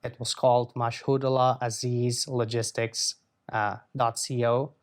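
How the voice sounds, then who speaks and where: Earnest young man, flat steady delivery. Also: medium pitch. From Afghanistan. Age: 20s. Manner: earnest, measured, with frequent uh fillers. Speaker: guest. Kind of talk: interview answers.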